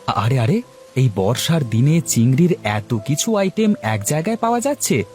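A man voicing a jolly, food-loving middle-aged customer in a cartoon who exclaims loudly and savours every bite. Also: medium pitch, sing-song, moderate pace, soft background music, natural voice. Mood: delighted.

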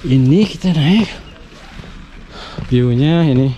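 A man's voice: on the high side, expressive, slow pace, warm tone. A man talking casually while walking through a villa with a handheld camera, chatty and loose with fillers. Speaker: vlogger touring a villa. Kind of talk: casual walkthrough commentary. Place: indoors and outdoors at a mountain lakeside villa. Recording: noisy camera audio.

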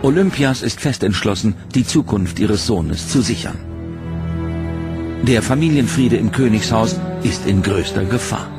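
A man narrating a history documentary from a script, in a measured, dramatic way. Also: lowish voice, animated, music in the background.

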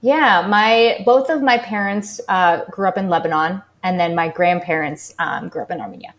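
A woman's voice: on the low side, normal speed. A woman in her thirties from the Boston area, a former gymnast, talking about her life as an interview guest in an upbeat, chatty way with many fillers. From United States, Boston area. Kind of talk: casual interview talk.

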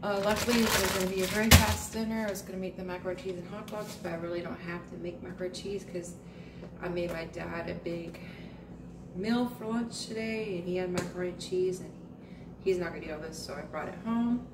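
A woman with a lowish voice chats in a plain, matter-of-fact way while she cooks at home. She moves around the kitchen, away from a camera sitting on the counter. Her voice bounces off the room, and steady noise runs underneath. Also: fast. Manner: chatty, matter-of-fact, talks while cooking and moving about. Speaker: home cooking vlogger. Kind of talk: casual cook-along narration. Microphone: camera on the counter, speaker moving around. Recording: echoey kitchen, distant from camera, loud background noise.

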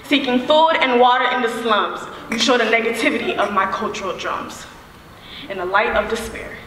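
A young woman performing spoken word poetry on a theater stage, declaiming emphatically with deliberate repetition. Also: on the high side, fairly bright tone. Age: young adult. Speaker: student spoken word poet. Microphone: microphone on a stand. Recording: very echoey hall, distant, faint noise.

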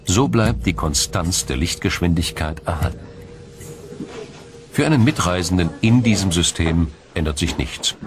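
A man narrating a scripted documentary in a measured, explanatory storytelling manner. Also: sits low, animated. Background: music.

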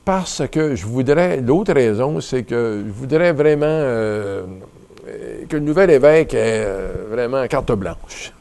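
A man in his seventies with a Canadian French accent, a retiring bishop being interviewed, who talks in a relaxed, reflective way with many fillers and restarts. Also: mid-range voice, takes it slowly. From Ottawa, Canada. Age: 70s. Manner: reflective and rambling, many fillers and restarts, gestures while talking. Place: small bright indoor studio at a table.

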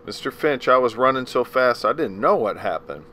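A man voicing a polite, frightened farmhand on a witness stand, speaking softly and hesitantly. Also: medium pitch, expressive, normal speed, a little hiss or hum. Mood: pleading. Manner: polite, hesitant, soft-spoken. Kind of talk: audiobook character reading.

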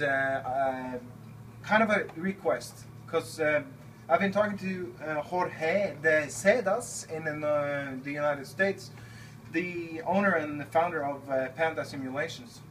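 A man from Norway in his thirties talks loosely and rambles, restarting his sentences. His voice sits a little high. The camera mic hears him from a few feet off, with a faint fan hum behind him. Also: fairly bright tone, far from the mic, rough recording. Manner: chatty, rambling, many fillers and restarts. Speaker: flight simulator builder. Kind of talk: casual video request. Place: home-built airliner cockpit simulator, indoors. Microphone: camera held by his son a few feet away.

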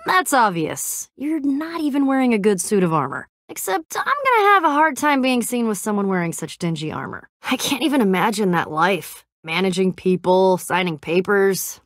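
A woman's voice: mid-range voice, big pitch swings, moderate pace, hardly any pauses, dry recording, studio quality, natural voice. A young woman playing a brash, teasing but loyal warrior in a video game, with cocky, sarcastic, slangy banter. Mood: sarcastic, unimpressed. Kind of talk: animated video game character acting.

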